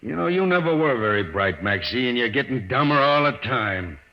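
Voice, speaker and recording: An actor in an old-time radio drama playing a surly, bossy gangster on the run, with curt, contemptuous, slangy tough talk. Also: male voice, mid-range voice, very animated, moderate pace. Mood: scornful. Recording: dull lo-fi old radio recording.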